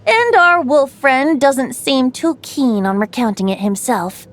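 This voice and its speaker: A woman's voice: high-pitched, lively intonation, a little slow. A woman playing a playful, youthful bard in a video game, telling tales in a lilting, theatrical, poetic way. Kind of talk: video game voice acting, storytelling.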